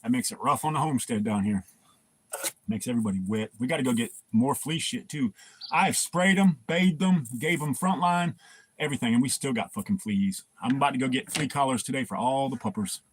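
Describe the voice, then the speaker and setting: A man's voice: medium pitch, a little fast, a little hiss or hum. A man hosting a casual livestream, laid-back and swearing, laughing often and greeting viewers by name. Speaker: livestream host.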